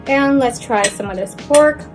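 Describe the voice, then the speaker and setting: Slow-talking Filipino-American woman cooking. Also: high voice, over music. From Philippines. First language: Tagalog. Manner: cheerful, enthusiastic, talks viewers through each step. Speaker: cooking channel host. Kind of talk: cooking demonstration.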